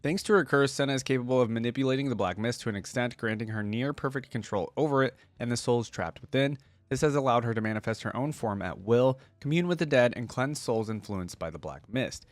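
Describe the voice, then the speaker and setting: Male reaction host, mid-pitched, reads lore aloud with casual asides, dry recording. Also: brisk, dry recording. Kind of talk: casual commentary while reading lore aloud.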